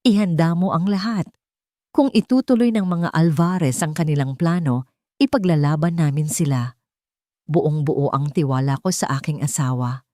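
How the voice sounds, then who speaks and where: Woman voicing a firm, low-toned husband and father. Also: normal speed, mellow, dry recording, clean studio sound, natural voice. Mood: resolute. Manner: firm, formal, respectful. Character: resolute husband and father, respectful but firm.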